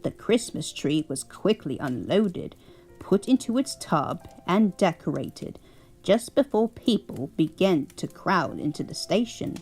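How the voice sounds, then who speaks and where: A woman's voice: lowish voice, very animated, a little slow, darkish tone, breathy edge, faint music behind, full character voice, poor audio. A woman voicing a kindly elderly English grandmother who reads a bedtime story aloud and fusses over her grandchild. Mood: cozy. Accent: put-on English. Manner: doting, chuckling, fussing over a grandchild.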